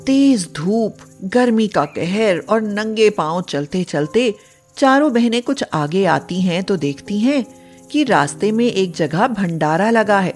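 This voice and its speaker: Female storyteller, mid-pitched, unhurried scripted bedtime narration over soft music. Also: expressive.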